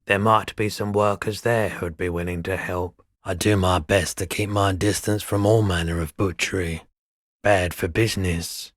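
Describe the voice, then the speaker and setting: An actor playing a laid-back, philosophical pawnbroker in a video game, speaking in a measured, deadpan, slightly mystical way. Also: male voice, sits low, no room sound, clean studio sound. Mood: calm, businesslike. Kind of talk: scripted game dialogue.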